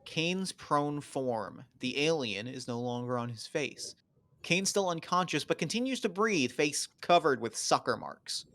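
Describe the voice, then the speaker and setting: A man's voice: a little high, animated, a little slow, fairly bright tone, dry recording. A man reading stage directions as the narrator of a scripted table read.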